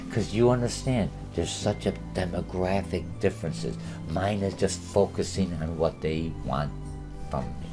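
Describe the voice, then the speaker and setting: Very deep-voiced older man, rambling. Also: darkish tone. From born in Germany, Polish parents. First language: Polish. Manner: rambling, digressive, with many filler "uh"s. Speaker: college marketing instructor. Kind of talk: online course orientation, screen walkthrough.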